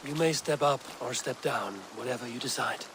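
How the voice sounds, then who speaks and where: A man playing a stern but compassionate Viking clan leader in a video game, speaking in a firm, measured, formal way. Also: medium pitch, background noise, natural voice. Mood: solemn, consoling. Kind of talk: video game cutscene acting.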